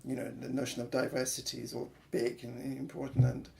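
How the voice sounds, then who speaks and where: Gravelly man. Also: mid-range voice, flat delivery, warm tone. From Iran. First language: Farsi. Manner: thoughtful, halting, many yeahs. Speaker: artistic director guest. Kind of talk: casual interview chat.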